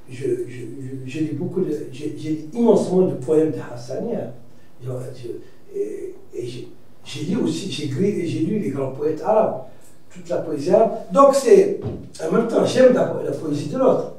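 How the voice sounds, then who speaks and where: A Mauritanian writer speaking reflectively as a debate guest, pausing and restarting often. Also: male voice, fairly high pitch, lively intonation. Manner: reflective, hesitant, many restarts and fillers. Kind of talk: debate discussion. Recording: echoey room from far off.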